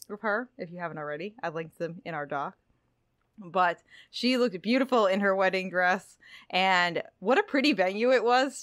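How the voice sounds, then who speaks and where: A woman's voice: medium pitch, fairly bright tone, no room sound, clean studio sound. A woman co-hosting a book-club podcast in casual, conversational talk. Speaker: podcast co-host.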